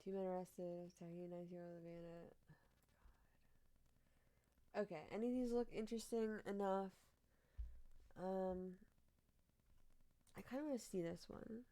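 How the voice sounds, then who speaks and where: Young woman streamer, kinda deep voice, swears and laughs, chill wry commentary on weird news. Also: fairly even intonation, a little fast, long pauses, mellow, poor audio. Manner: blunt, swears, laughs often, comments wryly on headlines. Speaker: streamer reading odd news. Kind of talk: casual live-stream chat.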